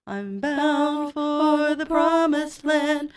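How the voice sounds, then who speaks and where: A woman sings a gospel spiritual as a signal acted by a female student. Her voice is high and extremely slow, with faint music, in a school radio drama. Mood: solemn, calling.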